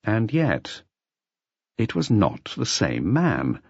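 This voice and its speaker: A man's voice: fairly low pitch, wildly swooping pitch, takes it slowly, vocal fry, husky, no echo, dull audio. A man reading literary fiction as an audiobook narrator, in a scripted storytelling style.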